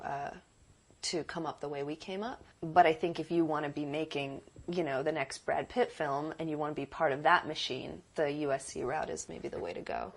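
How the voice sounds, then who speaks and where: A young adult woman producer and director talking in a casual interview, earnest and reflective, thinking aloud with small restarts. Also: darkish tone. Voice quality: deep voice.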